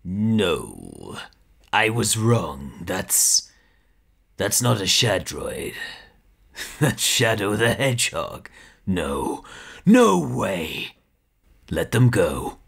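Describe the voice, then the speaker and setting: A man's voice: on the low side, wildly swooping pitch, very slow, vocal fry, pauses often, fairly bright tone, slightly rough, heavily acted. A man voicing a scheming evil scientist villain in a fan audio drama, irritable and dismissive. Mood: stunned. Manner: irritable, dismissive, then stunned.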